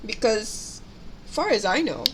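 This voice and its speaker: A woman's voice: fairly high pitch, sing-song, slow pace, slight background noise. Chatty young woman streamer. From Indonesia. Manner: chatty, switches between two languages, giggly asides. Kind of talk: casual livestream chat while painting miniatures.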